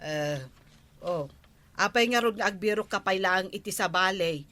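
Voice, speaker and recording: A woman's voice: mid-range voice, normal speed, a bit bright, lightly acted. A female actor with an Ilocano accent playing a nosy, nagging village woman full of questions in a radio comedy drama. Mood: exasperated, suggesting. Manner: questioning, exclamatory.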